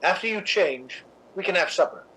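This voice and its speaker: An actor playing a suave, cynical, scheming theatre critic in a radio drama, with an arch, measured, theatrical delivery. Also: male voice, high-pitched, lively intonation, bright, forceful tone, slightly rough, heavily acted, rough recording. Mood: smooth, probing. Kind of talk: old-time radio drama narration and acting.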